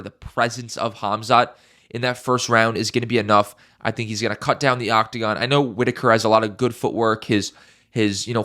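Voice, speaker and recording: Young guy with a stuffed-up nose breaking down fights, bright edgy tone, says stuff twice for emphasis. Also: lowish voice. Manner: emphatic, repeats key phrases, swears casually. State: congested, stuffy. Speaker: MMA YouTuber. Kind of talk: casual fight predictions.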